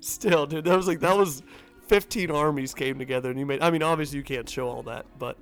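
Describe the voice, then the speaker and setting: A sick young man with a nasal voice co-hosts a casual podcast. He talks very fast and chats in a quick, easy way. Faint music plays behind him. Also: a little high. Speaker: podcast co-host.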